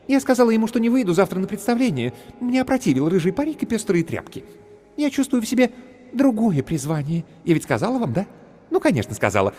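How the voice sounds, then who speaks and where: A man's voice: high-pitched, dark tone, pitched above the performer's own voice. A man playing a gushing young clown woman. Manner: effusive, theatrical, chattering. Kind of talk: audiobook character voice. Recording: reverberant with background music.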